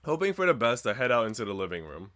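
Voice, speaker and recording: A man's voice: medium pitch, sing-song, quick pace, sharp tone, no echo, clean studio sound. A man reading a visual novel aloud as a streamer, narrating the script and dropping in slangy, joking asides.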